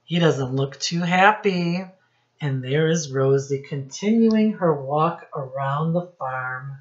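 Female storytime teacher, middle-aged, very unhurried, instructive delivery with questions for kids; slightly muffled home webcam recording. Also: low voice. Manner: instructive, prompting listeners with questions, drawn-out emphasis on key words. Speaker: children's storytime teacher and reader. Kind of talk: read-aloud picture book lesson for young children. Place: small room indoors. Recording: dull, lo-fi webcam sound.

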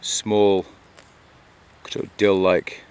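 A man's voice: deep voice, little pitch movement, drawn-out words, a little mellow, clear, resonant tone. A middle-aged English man with a Lancashire accent, a bushcraft instructor explaining things casually outdoors with fillers and restarts, recorded on a camera mic. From England. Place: outdoors in a grassy meadow by woodland. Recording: faint outdoor background noise.

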